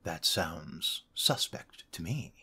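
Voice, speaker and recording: A man playing a pompous, contemptuous High Elf soldier in a fantasy roleplay, formal and sneering. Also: animated, slow, sharp tone, slightly rough, exaggerated voice. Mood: suspicious. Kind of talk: fantasy roleplay audio drama.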